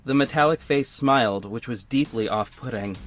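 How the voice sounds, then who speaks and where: Guy as young hero son narrating, earnest and conversational, mid pitch, faint music under. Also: moderate pace, lightly acted. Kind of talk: scripted first-person audio drama narration.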